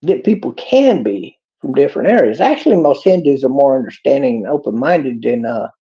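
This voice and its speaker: A man's voice: mid-range voice, lively intonation, brisk. A man with a Texas accent giving a rambling, preachy monologue in a folksy drawl. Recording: dull lo-fi clip.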